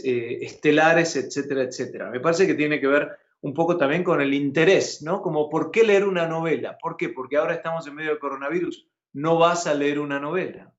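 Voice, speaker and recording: A man's voice: on the high side, reverberant. A man from Argentina with an Argentine accent, hosting a live news chat and talking with emphasis. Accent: Argentine Spanish. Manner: animated, emphatic, asks co-host questions. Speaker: news host. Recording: muffled broadcast audio.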